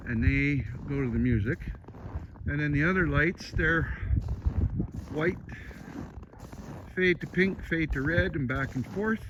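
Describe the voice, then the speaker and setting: Casting: easygoing man, unhurried homeowner walkthrough, rambling and casual, noisy outdoor recording. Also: a little high, lo-fi sound. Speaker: homeowner giving a tour of his Christmas light display. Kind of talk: casual unscripted tour narration. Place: outdoors on a rural acreage.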